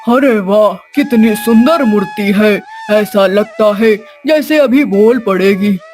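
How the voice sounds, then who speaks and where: A woman's voice: medium pitch, slow pace, a little mellow, soft background music, natural voice. A woman voicing a proud, benevolent wandering holy man, solemn and self-pleased, in a cartoon story.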